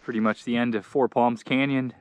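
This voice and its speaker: A man in his forties speaks to an action camera held at arm's length as he hikes through open desert. His voice is mid-pitched, and he talks in an unhurried, musing way, often pausing partway through a thought. Clear outdoor noise stays audible behind him. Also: fairly even intonation. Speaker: thru-hiker vlogger. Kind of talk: reflective trail vlog.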